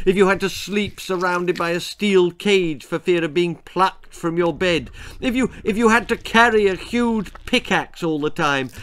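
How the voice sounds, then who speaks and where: A man voicing a gruff, aggrieved green bogey monster with a growling, slurping voice in a children's comic horror story. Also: on the high side. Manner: indignant, loud, menacing.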